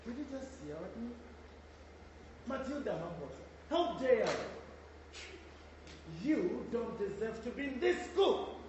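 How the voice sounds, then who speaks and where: A man playing a tyrannical school headmistress in a stage play, booming threats and sneering insults. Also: very animated, unhurried, pauses often, exaggerated voice. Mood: threatening. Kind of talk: amateur stage play acting. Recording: echoey hall, mic far off, noisy.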